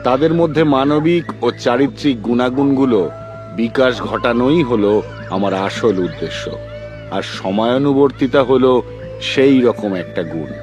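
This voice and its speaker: An actor playing a strict, principled guru in a cartoon, lecturing in a formal, measured and stern manner. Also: male voice, medium pitch, dull audio, lightly acted. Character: strict, principled old male guru of a forest school. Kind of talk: dubbed cartoon acting. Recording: music behind the voice.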